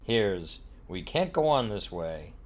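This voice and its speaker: A man's voice: fairly low pitch, sing-song, slow. A man interviewing a rock musician, asking short questions and introducing songs in a brief, matter-of-fact way.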